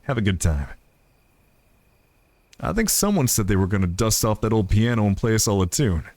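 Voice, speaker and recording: A man's voice: on the low side, expressive, normal speed, darkish tone, dry recording. A man voicing a tender, reassuring cowboy outlaw with a folksy Western drawl in a visual novel.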